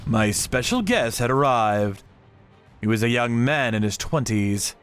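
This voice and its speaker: A man's voice: mid-range voice, lively intonation, a little slow, clean tone, soft background music. A man narrating a dramatic story in the first person with theatrical delivery. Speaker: narrator of a manga channel story.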